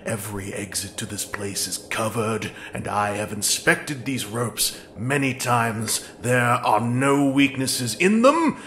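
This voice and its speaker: A man's voice: mid-range voice, lively intonation, pushed and bright, slight background noise. A man playing a smug, menacing doctor holding a prisoner, speaking formally and with cool confidence in a web film. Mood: cool, confident. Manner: formal, measured, coolly confident. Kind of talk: scripted villain dialogue in a web film.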